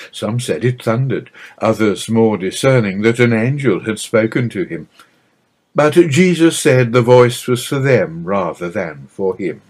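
A man reading a scripted religious narrative aloud in a solemn, measured and expressive manner. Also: medium pitch. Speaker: reader of a religious book.

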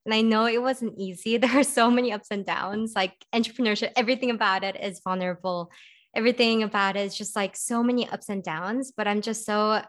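A woman's voice: medium pitch, steady tone, fairly quick. Chatty American woman hosting a podcast. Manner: informal, chatty, encouraging. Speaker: podcast host. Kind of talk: casual podcast chat.

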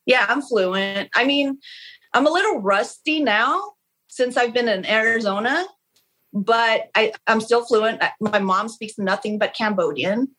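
A woman's voice: on the high side, measured pace, fairly bright tone, poor audio. A woman whose first language is Khmer, a guest in a casual interview, talking frankly and animatedly, often restarting her sentences. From Long Beach, California. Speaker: interview guest, real estate agent. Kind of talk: casual candid conversation.

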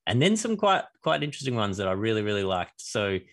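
A man's voice: lowish voice, very animated, quick pace, fairly bright tone. A man co-hosting a casual podcast chat, often hesitating and repeating words. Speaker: podcast co-host.

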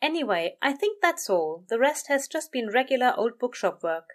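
A woman voicing a gentle, earnest male angel bookseller in an audio story, soothing, polite and a little prim. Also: fairly high pitch, sing-song, normal speed, voice pushed up.

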